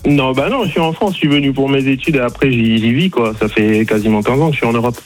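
A man's voice: medium pitch, quick pace, faint music behind. A man from Togo calling in to a radio show, hesitant and apologetic in manner. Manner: hesitant, apologetic, soft-spoken. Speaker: caller. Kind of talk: phone-in chat.

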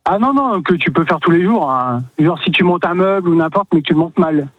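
A man from northern France, a truck driver phoning in to a radio show, talking in a relaxed, hesitant, plainspoken way. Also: a little high, a little fast, no echo, narrow, tinny audio, soft background music. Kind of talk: casual phone-in chat.

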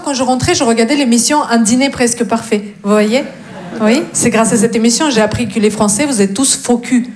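A woman comedian with a Russian accent works a live crowd in a fairly high voice. She teases her listeners cheekily and swears freely as she delivers her stand-up monologue. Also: darkish tone, slight background noise. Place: live comedy stage before an audience.

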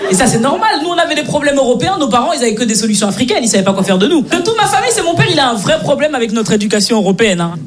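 A young woman from Belgium doing a stand-up routine, acting out her family in animated voices. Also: high voice, rapid-fire, few pauses, a crowd in the background. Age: 20s. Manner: animated, acts out her parents in put-on voices. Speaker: stand-up comedian. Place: comedy stage with live audience. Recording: echoey venue with audience laughter.

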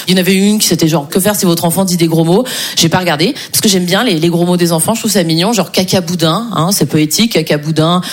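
Fast-talking woman doing stand-up comedy. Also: lowish voice. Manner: conversational, sardonic, punctuating with questioning tags. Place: live stage before an audience.

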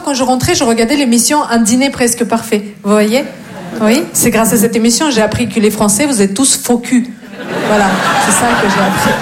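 Young Russian-accented female stand-up with a deadpan teasing delivery and a fairly high voice, in an echoey club. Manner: teasing, deadpan jabs at the audience. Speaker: stand-up comedian. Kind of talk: stand-up comedy set. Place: comedy club stage. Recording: echoey club with crowd laughter. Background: audience laughter.

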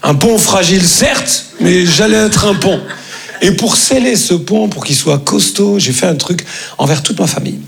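A man's voice: high voice, animated, moderate pace, gravelly. A man from France doing stand-up, earnest and then punchy, speaking directly to the audience. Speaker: stand-up comedian. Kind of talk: stand-up storytelling. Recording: live audience laughter.

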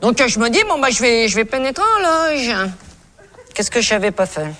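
A man playing a fussy, self-important stage manager in a comedy sketch, speaking in a halting, officious and repetitive way. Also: very high voice, sing-song, pushed and bright, heavily acted. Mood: flustered. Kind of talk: improvised-sounding comic character dialogue.

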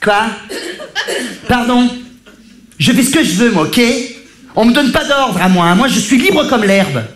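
A man's voice: very high-pitched, expressive, a little slow. A man playing an odd young male contestant obsessed with animals, awkward and hesitant. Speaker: game show contestant. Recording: echoey room with loud ambient noise.

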